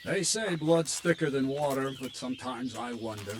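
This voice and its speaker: A man voicing a gruff blunt army captain. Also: mid-range voice, animated, normal speed, a little hiss or hum, natural voice. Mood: grim. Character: gruff middle-aged male army captain. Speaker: military captain. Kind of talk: video game cutscene acting.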